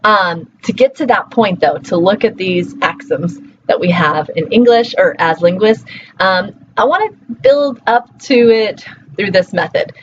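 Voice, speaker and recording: A woman who teaches college, giving a casual recorded lecture, full of "um"s and quick self-corrections. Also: medium pitch, lively intonation. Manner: casual and chatty, with many fillers and self-corrections. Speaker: college instructor. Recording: slightly muffled, faint hiss.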